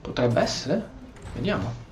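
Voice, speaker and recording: A man's voice: mid-range voice, very animated, normal speed, a little mellow, slight background noise. A man with an Italian accent giving casual commentary and role-playing along as he plays a video game. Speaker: gameplay streamer.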